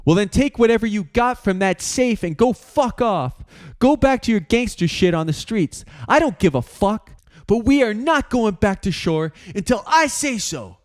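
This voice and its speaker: A man voicing a sardonic young tough guy who yells and swears in an audiobook reading. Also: high voice, animated, normal speed, pitched above the performer's own voice. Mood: heated. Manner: sardonic, yelling.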